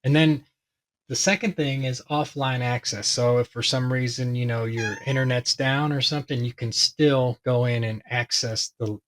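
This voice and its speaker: A man with a hoarse voice talking casually and ramblingly as he explains a tech topic to his viewers, with many fillers. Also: medium pitch, steady tone, normal speed, fairly bright tone. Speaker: tech video host.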